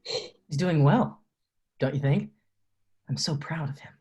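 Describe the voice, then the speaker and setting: An actor reading a protective, foul-mouthed high school best friend at a film table read. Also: male voice, slow pace, darkish tone. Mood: proud.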